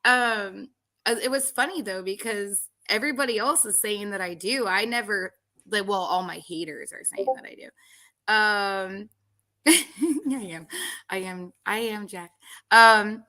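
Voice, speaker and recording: A woman's voice: medium pitch, measured pace, long pauses, pushed and bright. A woman hosting a casual podcast, rambling with lots of fillers and restarts. She says others call her voice girly. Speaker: podcast host. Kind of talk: casual reality-show commentary.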